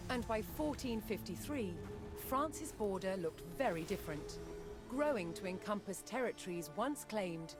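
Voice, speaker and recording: A woman's voice: medium pitch, animated. Woman narrating history over prominent music. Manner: measured, solemn storytelling. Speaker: documentary narrator. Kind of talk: scripted historical documentary narration.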